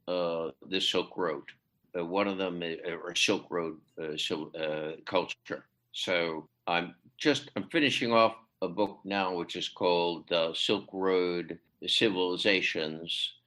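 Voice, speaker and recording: A man with a fairly low voice talks through a podcast interview as a literary translator. He hesitates often, fills gaps with "uh" and restarts his sentences, then jumps up in pitch to stress a point. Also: a little slow, fairly bright tone. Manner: hesitant, many fillers and restarts, rising emphatic pitch. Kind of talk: conversational interview.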